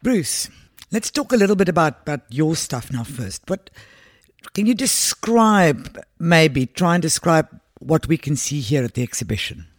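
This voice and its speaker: A woman's voice: deep voice, animated, measured pace, dry recording, studio quality. A woman hosting a podcast, interviewing guests in a conversational style. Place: gallery space.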